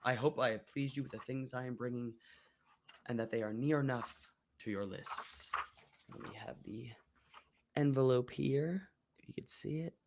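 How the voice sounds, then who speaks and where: A man reading old letters aloud on a livestream, deliberate and measured, while getting over an illness. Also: mid-range voice, slight background noise. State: recovering from an illness. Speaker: guest reader.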